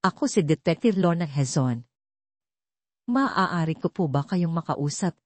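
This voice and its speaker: Woman reading as a formal female detective, low-pitched. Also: lively intonation, a little slow, dry recording. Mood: polite. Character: polite, probing female police detective, adult. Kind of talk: audio drama storybook reading.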